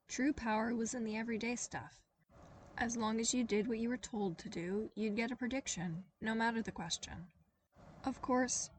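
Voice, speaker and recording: A woman narrates a story aloud with a lively, expressive delivery at a mid-height pitch. The voice sits a little away from the mic. Faint hiss runs underneath. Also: far from the mic, poor audio. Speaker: podfic reader.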